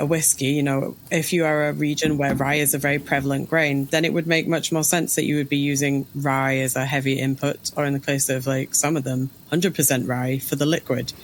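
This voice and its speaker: A woman co-hosting a casual podcast discussion, phrasing her thoughts in a hesitant, circling way. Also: sits low. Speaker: podcast co-host. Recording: background ambient noise.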